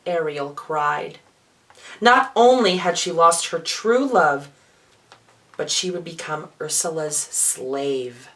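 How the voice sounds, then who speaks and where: A man performing as a drag queen, reading a children's storybook in a theatrical, expressive way with playful asides. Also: fairly high pitch, slow pace.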